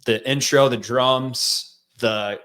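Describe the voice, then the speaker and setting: A man with a Michigan accent, a guest telling his life story in a relaxed, rambling way with lots of fillers. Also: mid-range voice, takes it slowly, smooth. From central Michigan. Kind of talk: casual interview storytelling.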